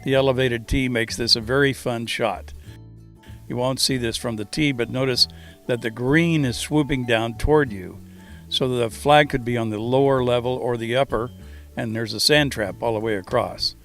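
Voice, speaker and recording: A man's voice: medium pitch, fairly even intonation, moderate pace, fairly bright tone, faint music behind. A man giving a scripted tour narration in the role of host of a course review.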